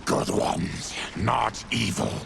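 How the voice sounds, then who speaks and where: An actor playing a gruff, honourable monster warrior in a video game, with a growling rasp and a terse, solemn way of speaking. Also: male voice, lowish voice, slow, background noise, exaggerated voice. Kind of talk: video game cutscene acting. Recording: loud music behind.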